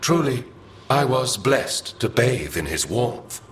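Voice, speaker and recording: An actor voicing a haughty, scornful ancient archive spirit in a fantasy game, speaking with formal, pompous condescension. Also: male voice, mid-range voice, big pitch swings, takes it slowly, slight background noise. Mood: reverent. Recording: echoing voice with faint music.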